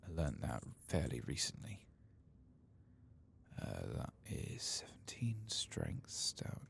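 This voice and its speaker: A man softly speaking and whispering ASMR game commentary, muttering to himself as he goes. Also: a bass voice, big pitch swings, slow, creaky. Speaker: host of an ASMR game playthrough.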